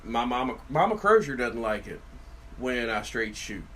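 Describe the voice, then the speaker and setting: A man's voice: mid-range voice, big pitch swings, moderate pace, noisy background. Folksy middle-aged man, rambling storyteller. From Virginia, USA. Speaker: podcast co-host, city councilman. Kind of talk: casual chat.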